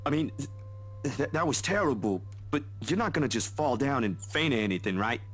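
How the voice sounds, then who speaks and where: A broad-built young man from a visual novel game, shy and awkward around crowds, as a man puts him on. He halts and stammers. His pitch is mid-range. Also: lively intonation, faint music behind, close to an everyday voice. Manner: halting, stammering speech.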